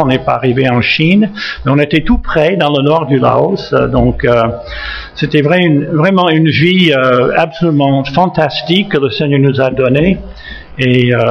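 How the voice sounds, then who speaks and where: A man in his seventies from Massachusetts with an American accent, a retired missionary pastor speaking French with many fillers and restarts and gentle jokes. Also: mid-range voice, lo-fi sound. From United States, Massachusetts. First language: English. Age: 70s. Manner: halting, frequent fillers and restarts, gently joking. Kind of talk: church talk introducing himself.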